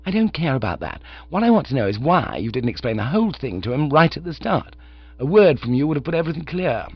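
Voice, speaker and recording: A man voicing an excitable young gentleman, slangy and exclaiming. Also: a little high, quick pace, slightly rough, no echo, lo-fi sound, heavily acted. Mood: flustered.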